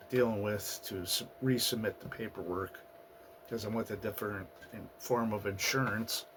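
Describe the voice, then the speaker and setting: A man hosting his own channel, talking through his past year in a halting, personal monologue, his voice raspy and hoarse from a recent flu. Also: lowish voice, fairly bright tone, a little hiss or hum. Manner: halting, with fillers and restarts. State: getting over a flu, hoarse. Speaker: channel host. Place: at home in the US Northeast.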